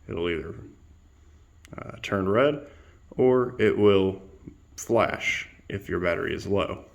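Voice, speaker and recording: A man's voice: fairly low pitch, creaky. A man giving a casual product demonstration in a plainspoken, explanatory way. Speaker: product reviewer.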